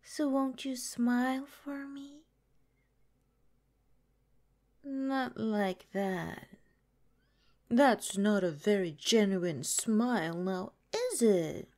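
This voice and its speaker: A possessive young yandere nurse girlfriend, played by a woman for ASMR roleplay. She speaks extremely slowly in a soft, mellow, fairly high voice, coy and breathy. She teases sweetly with menace underneath, often dropping into a whisper. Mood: coaxing, threatening. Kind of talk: ASMR roleplay, soft intimate drawn-out delivery.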